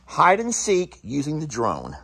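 Man, 30s, husky farm vlogger, animated delivery. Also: fairly high pitch, measured pace. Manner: folksy, explains to viewers, hollers to his kids. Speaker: vlog host. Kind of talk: casual farm vlog. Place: outdoors on a rural property. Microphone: phone camera at arm's length.

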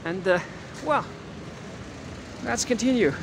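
A man in his thirties guiding a casual walking tour on a quiet residential street, talking with many fillers and restarts into a handheld camera mic. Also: extremely high pitch, lively intonation, takes it slowly. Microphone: handheld camera mic while walking. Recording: clear outdoor street noise.

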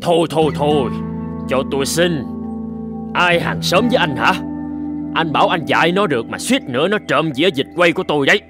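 A man's voice: fairly high pitch, animated, a little fast, loud music behind the voice, close to an everyday voice. Man voicing a sneering cartoon village bully. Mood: indignant. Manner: sneering, scolding. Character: scornful, bullying rich village man, middle-aged, hot-tempered. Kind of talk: animated folk-tale cartoon dub.

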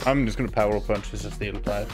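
A young man co-hosting a casual gameplay stream, pausing and searching for his words. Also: on the low side, fairly even intonation, music in the background. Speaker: gaming co-host.

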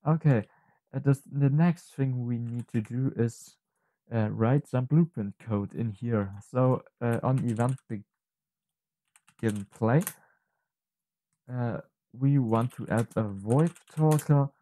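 A man narrating an unscripted software tutorial, thinking aloud haltingly and correcting himself as he goes. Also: mid-range voice. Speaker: tutorial presenter.

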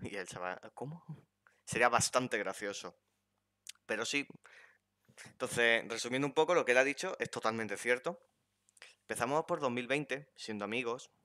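A man's voice: mid-range voice, animated, normal speed, pauses often, sharp tone, dry recording. A young man from Spain with a Spanish accent, hosting a casual podcast chat on a headset and joking around with his guest. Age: young adult. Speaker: podcast host. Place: bedroom streaming setup.

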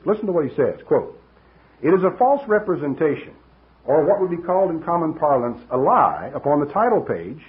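An older man preaching an expository sermon, teaching emphatically with rhetorical asides, in a husky voice. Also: a little high. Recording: dull, lo-fi radio-like recording.

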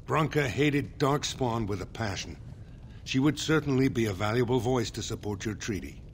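An actor voicing a dignified older dwarf lord in a fantasy video game, speaking formally and with measured gravity. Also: male voice, medium pitch, slight background noise, close to an everyday voice. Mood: grave, formal. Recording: game dialogue over prominent music. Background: loud background music.

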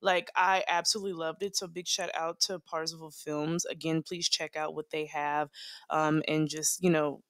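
A woman hosting a casual reaction video, expressive and full of exclamations, recorded indoors at home. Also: deep voice, moderate pace, no room sound.

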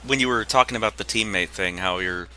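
A man's voice: fairly low pitch, brisk, bright, forceful tone. A man chatting casually as a co-commentator on a video game playthrough. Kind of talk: casual gameplay commentary chat.

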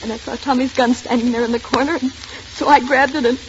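A woman's voice: sits high, steady tone, a little fast, close to an everyday voice. An actress playing a sulky, distraught, self-dramatizing teenage schoolgirl in a 1940s radio drama. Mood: subdued, defensive. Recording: noisy, muffled 1940s radio recording.

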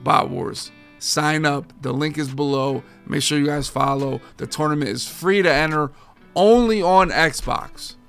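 A man in his thirties, a gaming streamer talking casually and energetically to his viewers, his voice a bit hoarse from overuse. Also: a little high, unhurried, soft background music. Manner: energetic, slangy, addresses viewers as boys and guys. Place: home game room with framed pictures.

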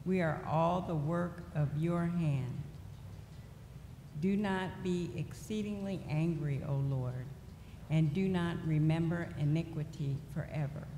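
A middle-aged woman reading scripture aloud at a church lectern in a slow, deliberate way. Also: sits low, warm tone. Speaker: lay reader. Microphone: lectern microphone. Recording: echoey church hall with faint noise.

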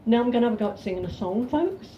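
An older woman from England with a self-described deep voice, chatting and reciting verse at a folk club in a pub. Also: lively intonation, very noisy. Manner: chatty, reads verse slowly and sings unaccompanied. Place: cosy pub back room. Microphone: stand mic, filmed from across the room. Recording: roomy, distant, dull pub recording.

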